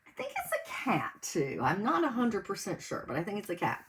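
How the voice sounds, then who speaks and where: A woman's voice: medium pitch, wildly swooping pitch, fairly quick, a bit bright, slight background noise. A woman teacher reading a picture book aloud to young children at home, in a sing-song way, explaining simply and asking them questions. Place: indoors at home.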